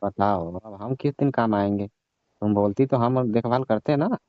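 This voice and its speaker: A man's voice: lowish voice, moderate pace, no room sound, lightly acted. Man playing father-in-law, prodding, suggestive, colloquial, comic phone skit, dry muffled recording. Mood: concerned, suggestive. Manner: prodding, colloquial, mock-innocent.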